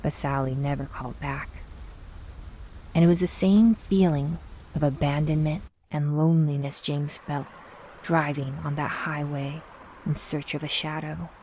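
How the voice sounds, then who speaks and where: A woman narrating a scripted audio drama in a measured, suspenseful storytelling manner. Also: sits low, steady tone, mellow, a little hiss or hum.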